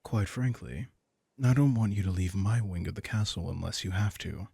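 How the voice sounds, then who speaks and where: A man playing a teasing, possessive and protective vampire king in an intimate audio roleplay, speaking to the listener in a husky, condescending, amused way. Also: sits low, mellow, no echo, exaggerated voice. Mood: stern, protective. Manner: condescending, amused, slow coaxing asides.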